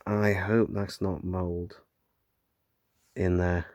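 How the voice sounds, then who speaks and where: A British man with a croaky voice from hay fever, chatting casually as he unboxes and rambles with lots of fillers and restarts. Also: low voice, little pitch movement, very slow, darkish tone, no echo. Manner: rambling, filler-heavy, self-correcting. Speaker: unboxing vlogger. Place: room at home with window open.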